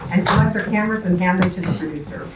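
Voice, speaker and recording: A woman's voice: fairly low pitch, very fast. A woman narrating stage directions from a script at a staged reading. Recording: echoey room, distant mic, noisy.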